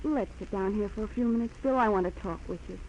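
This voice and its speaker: An actress playing a frank, coaxing young fiancée with an American accent in a 1940s radio drama. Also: female voice, medium pitch, fast, a little mellow, natural voice. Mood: concerned, coaxing. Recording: dull, noisy old 1940s radio recording.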